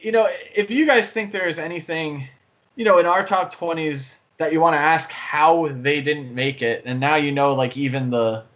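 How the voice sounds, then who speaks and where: A man's voice: fairly high pitch, moderate pace, a bit bright. A man co-hosting a podcast, talking casually about movies in an enthusiastic, rambling way. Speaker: podcast co-host and film fan.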